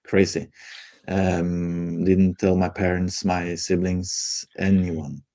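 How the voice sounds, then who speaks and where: Low, mellow Norwegian-accented man. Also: level tone, slow. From Norway. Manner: hesitant, many fillers, candid. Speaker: guest, folk healer. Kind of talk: casual interview conversation.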